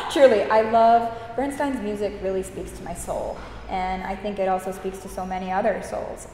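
Enthusiastic American woman in echoey room. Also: medium pitch. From United States. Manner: enthusiastic, laughs often, warm gushing praise. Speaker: guest opera singer. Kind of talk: interview answers. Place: room with high windows, indoors. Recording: echoey room, reverb, faint noise.

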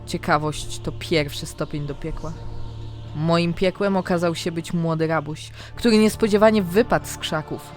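A woman narrating a scripted fantasy diary in the first person, with dramatic, expressive storytelling. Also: lowish voice, normal speed. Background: background music.

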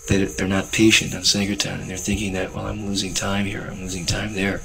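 A young man speaking earnestly and calmly about selling books to travelers, with faint hiss from old film audio. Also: low voice, a little fast, creaky, music in the background, low-quality recording. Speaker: book distributor. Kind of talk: reflective commentary over footage.

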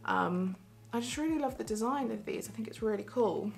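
A young woman in her twenties, talking to the camera in a small bedroom. She chats away casually and excitedly, with frequent giggles and plenty of fillers as she shows off her purchases. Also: on the high side, a little fast, creaky, faint music behind. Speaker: vlogger. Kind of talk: casual haul vlog.

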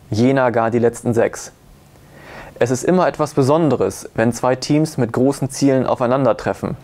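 A man's voice: medium pitch, darkish tone. A man in his thirties presenting a scripted sports news report. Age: 30s. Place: newspaper office, indoors.

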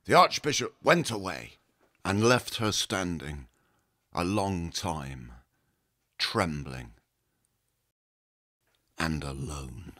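A man's voice: deep voice, big pitch swings, slow, long pauses, bright, forceful tone, no echo, studio quality. A man giving a dramatic scripted narration as a storyteller.

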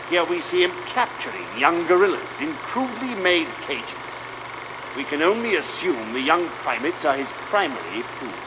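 Pompous British gentleman adventurer, old documentary narration, lively swooping delivery, noisy film-reel backing. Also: fairly high pitch. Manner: pompous, theatrical, grandiose.

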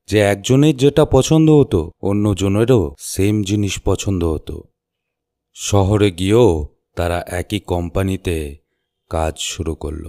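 A man narrating a scripted horror story in measured, suspenseful short phrases. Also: sits low, warm tone, no room sound.

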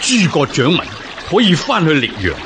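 An actor with a Hong Kong Cantonese accent playing a resolute, formal rebel general of ancient China in a radio drama. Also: male voice, fairly high pitch, sing-song, moderate pace, noisy background. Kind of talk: historical radio drama acting.

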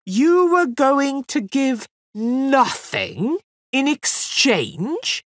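A woman voicing a huge, mocking male fire fiend in a storybook reading, slow and booming. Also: very animated, dry recording, studio quality, heavily acted. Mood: roaring.

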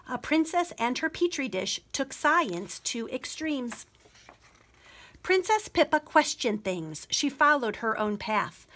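A woman reading a picture book aloud to young children as a story hour host, expressive and measured in her telling. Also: sits high.

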